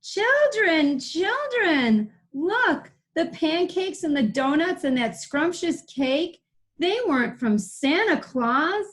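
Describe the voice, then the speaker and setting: Woman as an excitable high-pitched storybook mother hen. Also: takes it slowly, exaggerated voice. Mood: excited. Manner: excitable, exclaiming. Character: excited mother hen calling her chicks.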